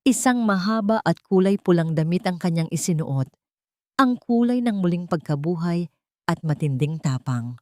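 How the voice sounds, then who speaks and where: Woman narrating a dramatic story, lowish voice. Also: expressive, moderate pace, darkish tone, no echo.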